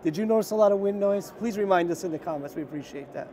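Quick, high-voiced man interviewing. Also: dark tone, slight background noise. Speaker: channel host, interviewer. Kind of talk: casual unscripted interview. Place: large indoor car show hall. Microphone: clip-on wireless lapel.

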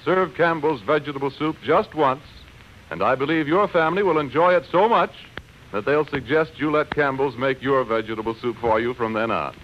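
A man's voice: mid-range voice, moderate pace, slight background noise. An American man working as a radio announcer, reading scripted 1940s advertising in a folksy, persuasive, emphatic way. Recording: dull vintage radio broadcast recording.

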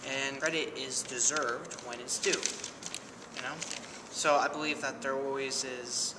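A man's voice: mid-range voice, steady tone, a little slow. A young man answering interview questions casually, with hesitant fillers, in a small room. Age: young adult. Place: small indoor room with a bulletin board. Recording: reverberant room from a distance, noisy.

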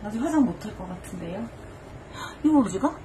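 A woman's voice: mid-range voice, animated, fast talker, a crowd in the background. A middle-aged woman from Seoul with a Busan accent, bantering with her daughter and teasing her, laughing a lot. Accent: Busan Korean. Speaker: vlogger's mother. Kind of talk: casual banter.

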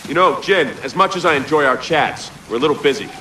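An actor playing a cocky, sarcastic young soldier in a video game. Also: male voice, on the high side, fast, bright, forceful tone, slight background noise, rough recording. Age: young adult. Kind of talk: video game cutscene acting.

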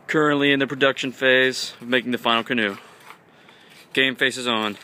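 A man's voice: medium pitch, fairly even intonation, brisk, bright, forceful tone. A young man, an engineering student, giving offhand, joking commentary while the class works on a project. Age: young adult. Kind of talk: casual on-camera commentary.

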